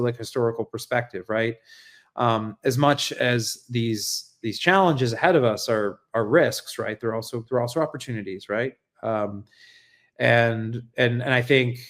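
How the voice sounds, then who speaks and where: An American man hosting an unscripted livestream monologue, speaking earnestly and reflectively in a halting, searching way, often repeating words and checking in with "right?". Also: medium pitch. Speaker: livestream host and essayist.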